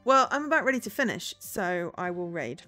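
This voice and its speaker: Female game streamer, lowish register, chatty and rambling, light music bed. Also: sing-song, brisk, bright, forceful tone. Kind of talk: casual livestream chat and reading game dialogue aloud.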